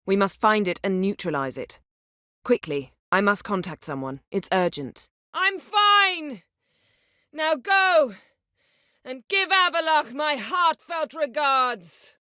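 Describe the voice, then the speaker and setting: An actress voicing a proud, sharp-tongued sorceress in a fantasy video game, with a crisp, imperious, dryly sardonic delivery. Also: female voice, sits high, expressive, slow, no room sound, clean studio sound, full character voice. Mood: urgent, shouting.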